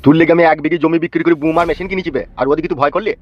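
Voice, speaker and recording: Guy doing a scheming village dad in a cartoon, rural west bengal accent, rattles orders off fast, dull lofi sound. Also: a little high, fairly even intonation, dry recording, lightly acted. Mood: questioning. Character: gruff, scheming village father who stocks bombs. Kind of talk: comic cartoon dialogue.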